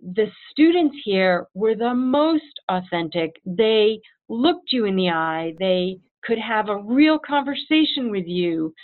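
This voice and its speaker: A woman who heads a school, answering interview questions in an earnest, animated way and acting out her own past thoughts. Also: a little high. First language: English. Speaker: head of school. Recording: tinny phone-like line.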